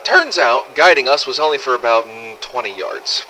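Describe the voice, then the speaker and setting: Casting: brash male apocalypse survivor played by a man for game-style audio log, bright forceful voice, blunt and sardonic, noisy ambience behind. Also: medium pitch, lively intonation, moderate pace. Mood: matter-of-fact recounting. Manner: blunt, sardonic, swearing, conversational storytelling. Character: brash, foul-mouthed adult male survivor in zombie apocalypse. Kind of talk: scripted first-person audio log narration.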